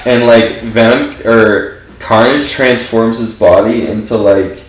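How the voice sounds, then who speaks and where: A man's voice: medium pitch, steady tone, takes it slowly, roomy sound, slight background noise, poor audio. A man giving casual gameplay commentary while stuffed up from allergies, rambling and filling his speech with "like". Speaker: game streamer.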